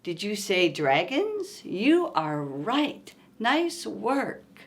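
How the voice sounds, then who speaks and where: Slow-paced woman reading to little kids. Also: medium pitch. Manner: slow, expressive, coaxing with questions to young listeners. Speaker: children's storytime host. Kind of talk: reading a picture book aloud to young children, asking questions.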